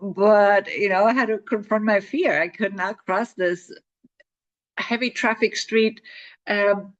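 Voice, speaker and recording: A woman's voice: mid-range voice, fairly even intonation, slow pace, muffled recording. A middle-aged woman author telling stories about her own life in a chatty way. She laughs often and restarts her sentences with repeated words. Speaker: author promoting her book. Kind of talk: casual spoken storytelling to camera.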